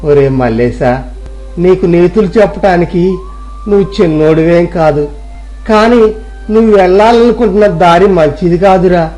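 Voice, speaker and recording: A stern but caring old village father, voiced by a man, warning his son against a wrong path. He speaks slowly and reproachfully. Faint music runs underneath. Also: high voice, warm tone, lightly acted. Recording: music playing behind.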